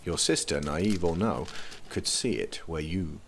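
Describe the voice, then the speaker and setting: A man playing a brooding, wary, dry-witted prince in a fantasy audio drama, with a grave, deadpan delivery. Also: deep voice, unhurried, a little hiss or hum.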